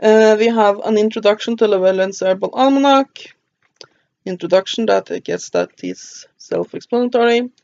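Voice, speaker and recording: A woman with a Norwegian accent talks through a book's contents in a relaxed, halting way, often pausing and tripping over names. Her mid-pitched voice is recorded dry and close. The sound is slightly muffled and dull. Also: no echo. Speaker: book reviewer. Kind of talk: casual book review, reading a table of contents.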